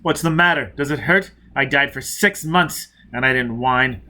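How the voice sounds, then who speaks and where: A man's voice: medium pitch, normal speed, sharp tone. A man voicing a vengeful, merciless spaceman who presses his captive with blunt, taunting questions in a science fiction audiobook. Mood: cold taunting.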